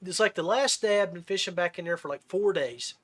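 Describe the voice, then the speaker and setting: A man's voice: sits high, fairly quick, a bit bright. A man hosting a casual fishing podcast, telling folksy, rambling stories. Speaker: podcast host.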